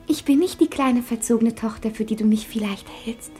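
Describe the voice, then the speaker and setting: An actress playing a brave, devoted young daughter in a 1950s radio play, wistful and theatrical, with breathy delivery. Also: female voice, fairly high pitch, brisk, dark tone, soft background music, natural voice. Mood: tender, breathy.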